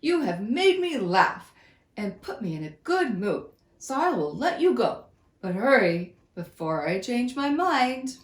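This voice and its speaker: A woman voicing a huge, grumpy male lion, the proud king of the beasts, who talks loud and scornful. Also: on the high side, big pitch swings, measured pace, reverberant. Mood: gracious.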